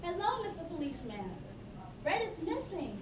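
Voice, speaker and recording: A woman's voice: extremely high pitch, very animated, normal speed. A woman voicing an anxious, loving old grandmother in a children's puppet show. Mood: worried. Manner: fretful, affectionate. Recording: distant echoey room with audience noise.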